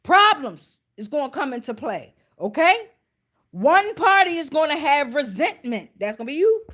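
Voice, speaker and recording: A woman's voice: high-pitched, very animated, moderate pace, a bit bright. An American woman giving an informal tarot reading as host, blunt, foul-mouthed and emphatic, often checking in with her listeners. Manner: checks in with listeners with repeated tag questions.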